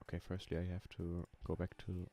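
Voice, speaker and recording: A young man from Germany, speaking English with a German accent, his voice sitting a little low. He talks in a relaxed, unhurried way on a casual live stream, musing aloud with frequent ums and soft laughs while keyboard typing clicks behind him. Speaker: streamer building roller coasters.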